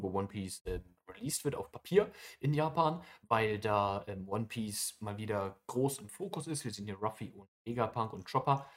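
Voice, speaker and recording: A young man co-hosting a podcast, chatting casually through a comic chapter with lots of fillers and quick asides. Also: fairly low pitch. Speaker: podcast co-host.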